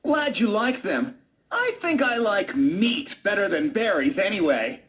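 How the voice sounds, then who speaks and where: A woman's voice: mid-range voice, very animated, a little slow, low-quality recording. A woman voicing an earnest, flustered male cartoon wolf who hesitates and stammers, eager to please a friend. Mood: friendly, shy. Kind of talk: animated cartoon dialogue. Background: music.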